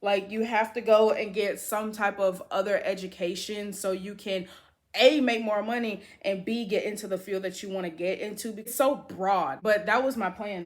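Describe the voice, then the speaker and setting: A woman in her twenties talking casually to camera in an upbeat, chatty way, with lots of filler words. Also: medium pitch. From United States. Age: 20s. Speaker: beauty vlogger.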